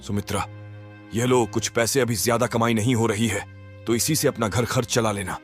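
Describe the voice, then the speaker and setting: A man's voice: mid-range voice, fairly even intonation, moderate pace, faint music behind, natural voice. A man playing a humble, worried street food vendor and family man in a moral-story cartoon, speaking earnestly.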